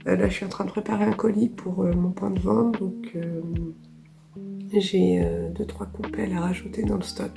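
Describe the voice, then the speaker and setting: A woman in her thirties from France, hoarse with a cold, talking softly and casually in her art studio vlog, with many fillers. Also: mid-range voice, steady tone, moderate pace. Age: 30s. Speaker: illustrator. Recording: music playing behind.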